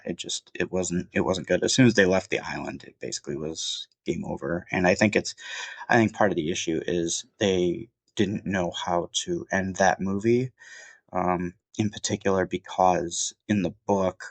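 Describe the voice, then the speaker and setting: Male film reviewer, deep voice, stuffed-up and sniffly, meandering casual delivery, dry room. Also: dry recording. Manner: rambling, many fillers and restarts. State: sniffly from a cold. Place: at home.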